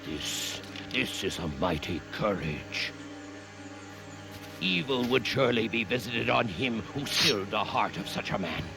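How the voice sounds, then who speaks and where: A man voicing a fierce, solemn warrior chieftain in an animated adventure, weighty and declamatory. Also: fairly low pitch, a little slow, creaky, over music. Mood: solemn respect. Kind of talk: animated adventure series acting.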